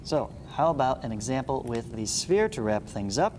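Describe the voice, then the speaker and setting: A man's voice: medium pitch, very animated, moderate pace, darkish tone. A man giving a read-along lesson as a teacher, reading aloud and breaking off for informal, folksy explanations. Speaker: math teacher. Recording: echoey room, clearly audible background noise.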